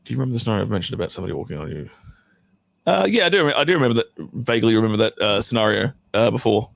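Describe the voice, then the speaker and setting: A man's voice: fairly low pitch, creaky, dry recording. A man who performs in adult films hosting a casual livestream, talking to his chat in a rambling, profane, joking way.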